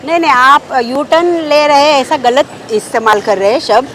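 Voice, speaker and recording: A woman with a high-pitched voice and a Marathi accent responds to an interviewer as a party worker. She is emphatic but halting as she searches for words, with faint outdoor traffic noise behind her. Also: fairly bright tone, poor audio. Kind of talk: answering a news interview. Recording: outdoor street noise, passing vehicles.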